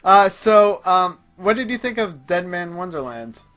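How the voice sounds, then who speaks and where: A man's voice: sits high, lively intonation, measured pace, fairly bright tone, soft background music. A man hosting a gaming show, chatting casually and muttering distracted asides as he plays. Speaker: gaming show host playing the game. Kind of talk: casual chat while playing.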